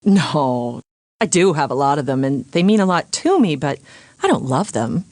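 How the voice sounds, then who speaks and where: A woman's voice: low voice, big pitch swings, normal speed, a little mellow, no echo. A woman voicing an earnest, literal-minded young female defendant in a cartoon comedy. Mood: defensive. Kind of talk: animated comedy dialogue.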